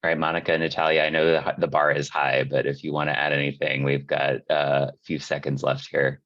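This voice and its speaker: Very deep, flat-voiced male moderator. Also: brisk, no room sound. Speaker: panel moderator. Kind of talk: moderating a video panel. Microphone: video call. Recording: dull dry video call audio.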